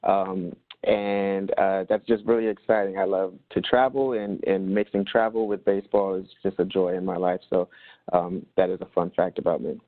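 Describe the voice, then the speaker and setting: Deep-voiced man on a dry call line. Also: little pitch movement, normal speed, no room sound. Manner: earnest, stumbling repeats. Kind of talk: webinar self-introduction. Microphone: conference call line. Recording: dry phone-line webinar audio.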